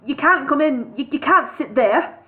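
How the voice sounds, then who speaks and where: A woman's voice: high voice, animated, normal speed, pitched above the performer's own voice. A woman voicing a flustered, polite little male homebody creature who stammers apologies, over a tinny phone-like line.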